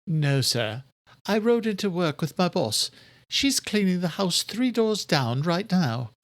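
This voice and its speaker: A man's voice: high voice, big pitch swings, a little slow, no echo, clean studio sound, pitched above the performer's own voice, exaggerated voice. A man voicing a polite, frightened young working woman in an audiobook thriller. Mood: timid, grateful.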